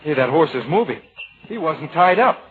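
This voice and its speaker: A man's voice: a little high, lively intonation, moderate pace, a little hiss or hum, lightly acted. A man playing an easygoing, gallant young sailor with a casual manner in a 1940s radio romance. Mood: playful. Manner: casual, friendly, plain-spoken. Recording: thin, narrow-band vintage radio recording.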